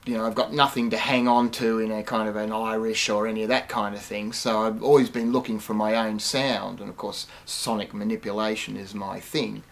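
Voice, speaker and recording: A man's voice: lowish voice, gravelly. A middle-aged man explaining his work in a casual, rambling, wry way. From Australia. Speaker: musician and inventor. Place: small cluttered home studio indoors.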